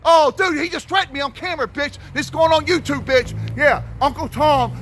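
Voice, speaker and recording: A man with a very high, sharp voice hurls shouted, profane taunts in a heated confrontation. He is recorded on a phone camera as he films the exchange. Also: fairly quick. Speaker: activist filming a confrontation.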